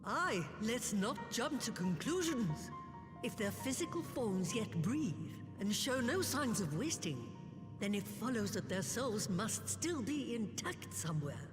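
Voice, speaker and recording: A woman's voice: on the high side, sing-song, measured pace. A woman playing a crotchety, sardonic old hermit sorceress in a video game cutscene. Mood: measured, knowing. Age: older. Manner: sardonic, grumbling, theatrical. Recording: echoey reverberant hall, music behind.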